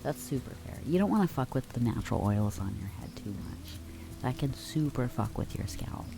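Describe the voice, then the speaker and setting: Very low, gravelly soft-spoken young woman. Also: normal speed. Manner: relaxed, affectionate, reads and answers chat; drifts between soft talk and whispers. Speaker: streamer. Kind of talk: soft-spoken ASMR chat with viewers.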